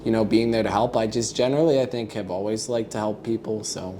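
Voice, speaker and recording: A man's voice: on the low side, fairly even intonation, fast, dark tone. A young man from the United States, a podcast guest, talking casually and in a friendly way, with hesitant starts and many fillers. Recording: audible background noise.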